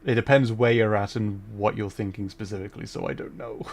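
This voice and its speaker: A man's voice: on the low side, fairly even intonation, a little fast, gravelly. A young adult man chatting casually while streaming a game, rambling and correcting himself, with frequent laughs.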